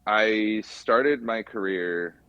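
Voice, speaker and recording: A man chats informally as a guest. He tells his story in a halting, rambling way. The sound is dry and slightly dull. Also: lowish voice, sharp tone, clear, resonant tone, no echo. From California, USA. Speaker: podcast guest, car mechanic by trade. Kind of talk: casual chat.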